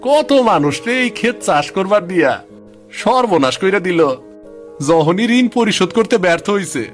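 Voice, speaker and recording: A man's voice: high-pitched, expressive, moderate pace, faint music behind. A man playing a knowing, familiar village neighbour who warns of doom, speaking rustic eastern Bengali dialect in a cartoon. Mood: gloomy, resigned.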